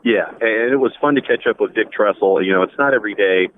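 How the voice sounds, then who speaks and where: A man's voice: lowish voice, quick pace. A man chatting casually about sports and giving his predictions over a phone call. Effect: phone line. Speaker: sports commentator on the show. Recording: dull phone call with faint noise.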